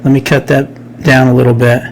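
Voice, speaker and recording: A man's voice: medium pitch, little pitch movement, brisk, gravelly, a little hiss or hum, rough recording. A man in his fifties co-hosting a podcast, chatting loosely with joking, sarcastic banter. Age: 50s. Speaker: podcast co-host. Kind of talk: casual chat. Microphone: computer mic in a home studio.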